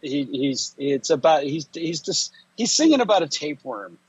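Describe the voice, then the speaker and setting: A man co-hosting a podcast who grew up speaking Estonian, telling stories in a halting, casual way with many restarts. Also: on the high side, sing-song, breathy edge, muffled recording. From United States. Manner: halting, restarts often, storytelling. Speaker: podcast co-host. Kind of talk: casual chat.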